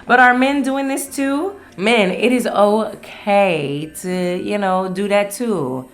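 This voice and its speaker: A woman's voice: medium pitch, takes it slowly, faint music behind. A woman hosting a solo podcast in a casual, candid, playful way, swearing freely. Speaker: podcast host.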